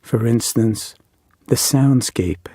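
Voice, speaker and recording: A man leading a guided meditation as a teacher, speaking in measured, deliberate phrases with reflective pauses. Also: medium pitch, dark tone, breathy edge, no echo, studio quality.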